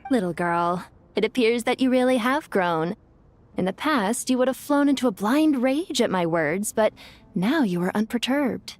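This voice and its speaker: Woman voicing an imperious high-voiced ancient being. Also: animated, lightly acted. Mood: knowing, approving. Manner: imperious, formal, measured. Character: haughty, ancient, wise female desert being. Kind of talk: video game voice acting.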